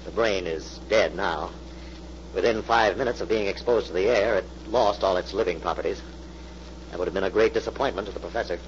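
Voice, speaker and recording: A man plays a gallant, reassuring leading man in a vintage radio drama. His voice is lowish, calm and wry, on a muffled recording with faint hiss. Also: brisk, lightly acted. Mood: grave, explaining. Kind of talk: 1940s radio mystery acting.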